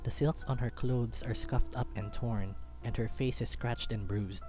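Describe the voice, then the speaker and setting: A man's voice: medium pitch, fairly even intonation, a little slow. A man reading a first-person story aloud as a dramatic narrator. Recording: background music under the voice. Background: music.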